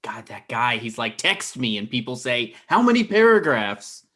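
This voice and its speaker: A man's voice: mid-range voice, big pitch swings, normal speed, sharp tone. A man in his thirties playing a flustered, exasperated boyfriend in a comedy table read on a video call, protesting and stammering. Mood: annoyed.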